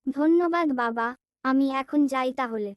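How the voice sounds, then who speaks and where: A woman voicing a sweet, earnest young girl in an animated cartoon. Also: high-pitched, measured pace, no echo, highs cut off. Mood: pleased.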